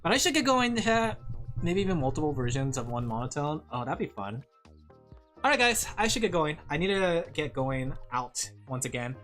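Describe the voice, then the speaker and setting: Chatty woman streamer with a lively, lowish voice. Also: normal speed, soft background music. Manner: rambling, chatty, laughs often. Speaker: VTuber streamer. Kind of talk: casual livestream chat while drawing.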